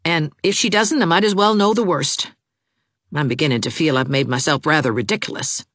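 A woman's voice: sits low, a bit bright, dry recording, studio quality. A woman voicing a lovesick, gloomy young man who talks in an earnest, fretful rush. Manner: earnest, fretful, fast-talking. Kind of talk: audiobook character voice.

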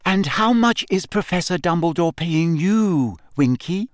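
Guy doing a teen girl voice, pitched up, earnest n pleading, audiobook. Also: lively intonation, a little slow, a little hiss or hum. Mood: kind. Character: earnest teenage girl, kind but insistent. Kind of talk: audiobook character acting.

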